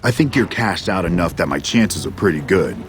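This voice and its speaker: An actor playing a gruff, defiant, foul-mouthed military general in a video game, blunt and combative. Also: male voice, deep voice, expressive, fairly quick, slight background noise. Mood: angry, defiant.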